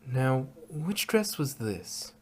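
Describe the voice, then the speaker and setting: A refined cartoon mare dressmaker, acted out by a man, speaks with fussy, genteel drama. The pitch is very animated and sits in a middle range, as in a storybook fan reading. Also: slow, breathy edge, heavily acted. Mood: fretful. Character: refined, fretful female cartoon unicorn dressmaker.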